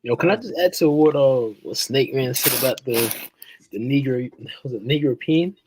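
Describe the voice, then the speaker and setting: A man's voice: medium pitch, normal speed, noisy background. A man who grew up in Australia, chatting casually on a podcast panel, stumbling and repeating words as he thinks. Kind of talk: casual chat.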